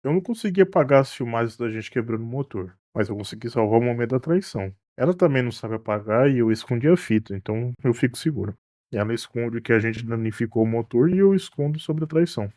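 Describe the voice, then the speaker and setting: A man playing a teasing, self-protective cruise captain in an animated mystery, colloquial and Brazilian-accented. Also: medium pitch, quick pace, no echo, clean studio sound, natural voice. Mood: confessing. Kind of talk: animated murder-mystery dialogue.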